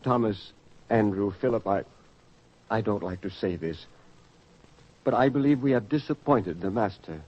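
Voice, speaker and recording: A man's voice: fairly low pitch, moderate pace, long pauses, close to an everyday voice. A man playing an earnest, troubled disciple in a radio drama, grave and somewhat hesitant. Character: troubled, earnest disciple prone to challenge his companions. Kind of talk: old-fashioned radio drama acting. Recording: dull lo-fi radio drama recording.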